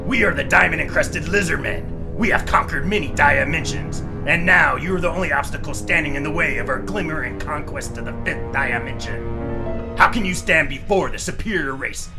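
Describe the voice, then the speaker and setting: Male actor as lizardman conqueror, high voice, theatrical villainy, pulp serial, loud music behind. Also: normal speed, close to an everyday voice. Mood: boastful menace. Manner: bombastic, theatrical villain declaiming. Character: gloating diamond-encrusted lizardman alien conqueror villain. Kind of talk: pulp radio serial parody acting.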